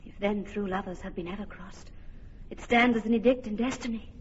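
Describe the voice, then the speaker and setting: A woman's voice: mid-range voice, a little fast, big-room sound, natural voice. An actress playing a spirited young noblewoman in love, declaiming verse in an old radio drama. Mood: earnest. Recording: dull lo-fi radio recording, faint hiss.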